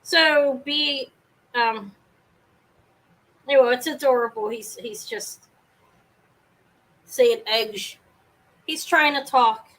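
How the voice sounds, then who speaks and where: Brief: woman, live-sale host, loud carrying voice, rambly and self-interrupting, home setup. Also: sits high, takes it slowly, pauses often, low-quality recording. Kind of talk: casual live sale chat. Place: home apartment, fan running.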